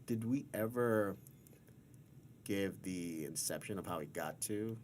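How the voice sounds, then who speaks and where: A man's voice: deep voice, a little slow, darkish tone, slight background noise. A man hosting a casual interview, informal and affirming, asking rambling open questions. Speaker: interviewer, podcast host.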